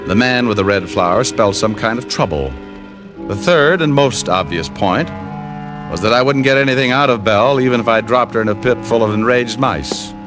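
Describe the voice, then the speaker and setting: An actor playing a cocky, wisecracking private detective with a wry, sardonic manner in old-time radio style. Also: male voice, medium pitch, a little fast, natural voice. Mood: wry narration. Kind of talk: 1940s-50s radio detective acting and first-person narration. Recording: old radio recording with music.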